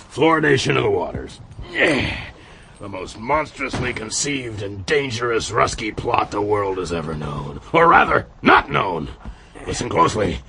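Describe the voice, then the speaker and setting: A paranoid American commander in a satirical radio drama. His voice is deep and gravelly, lurching widely from hushed menace into ranting. The recording is clearly noisy. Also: full character voice. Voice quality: gravelly, creaky. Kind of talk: satirical radio play acting.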